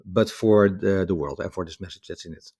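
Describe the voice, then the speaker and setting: A man's voice: low voice, a little fast, a little mellow, husky. A Dutch man speaking English as a guest author, talking over a video call in a rambling, reflective way with many restarts. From Netherlands. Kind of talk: casual conversation.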